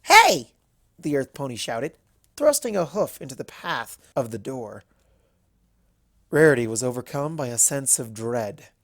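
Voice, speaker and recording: Lively, expressive story narrator. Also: medium pitch, moderate pace, dry recording, clean studio sound.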